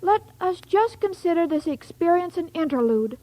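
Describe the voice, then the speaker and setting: A woman's voice: extremely high pitch, expressive, normal speed. An actress playing a sweet, dim-witted young woman in an old radio sitcom, earnest and naive, with a girlish voice. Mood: solemn, resigned. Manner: naive, earnest delivery of muddled sayings. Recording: noisy old radio recording, loud audience.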